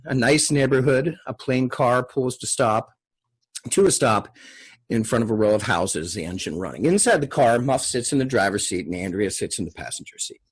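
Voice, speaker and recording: Casting: male table-read host and narrator, raspy mid-range voice, casual, plain delivery. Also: mid-range voice, moderate pace. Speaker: host and stage-direction narrator. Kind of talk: scripted screenplay narration and casual introductions.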